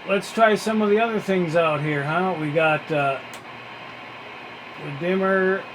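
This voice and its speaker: A man giving a casual, unscripted walkthrough of some gear, in a halting, matter-of-fact way. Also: high voice, background noise. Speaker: radio hobbyist demonstrating gear.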